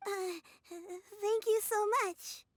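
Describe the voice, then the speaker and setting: A woman's voice: very high voice, fairly even intonation, extremely slow, background noise, exaggerated voice. A woman voicing an earnest, curious little girl in a video game, childlike, hesitant and guileless. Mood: shy, thoughtful. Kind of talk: scripted video game voice acting.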